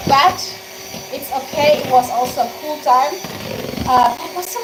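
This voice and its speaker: High-voiced Austrian woman chatting live. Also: unhurried. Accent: Austrian German. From Austria (Tyrol). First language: German (Tyrolean dialect). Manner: chatty, answers viewer comments, thanks them often. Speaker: live-stream host. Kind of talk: casual live-stream chat while baking. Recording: echoey room with background noise.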